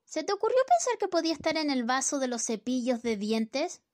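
High, flippant scolding wife for a radio drama, played by a woman. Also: big pitch swings, a little fast, darkish tone. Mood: chiding. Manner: flippant, nagging. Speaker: student radio drama actor. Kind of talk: amateur radio play acting.

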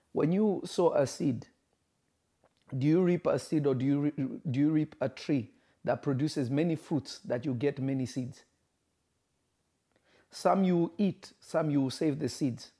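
A man preaching a livestreamed sermon, speaking emphatically, repeating phrases and slowing for stress, and greeting viewers by name. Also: on the high side, dark tone. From Kenya.

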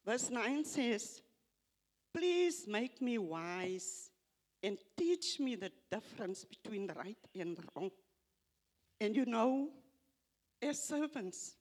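An older woman with an Afrikaans accent, English not her first language, slowly reading scripture aloud and reflecting on it at a church gathering, halting and repeating phrases. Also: fairly high pitch. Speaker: church member giving a tribute.

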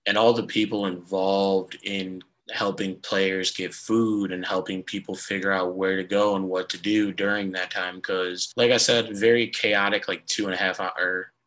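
Hoarse, deep-voiced young sports podcaster. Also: male voice, little pitch movement, moderate pace, hardly any pauses, fairly bright tone, poor audio. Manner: rambling, filler-heavy. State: hoarse, lost voice. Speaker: podcast host. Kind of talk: casual sports podcast talk.

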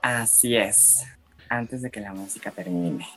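A young adult singer from Mexico City with a Mexican accent, answering questions in a relaxed, hesitant way with frequent fillers. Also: medium pitch, faint music behind. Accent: Mexican Spanish. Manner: slow and relaxed, laughs often, hesitant fillers. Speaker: singer being interviewed.

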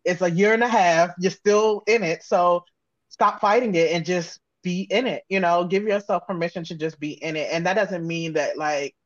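A woman's voice: on the low side, fairly even intonation, normal speed, dry recording, lo-fi sound. A woman giving casual, candid and reflective interview answers, often voicing her own self-talk. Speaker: interview guest, audio drama creator.

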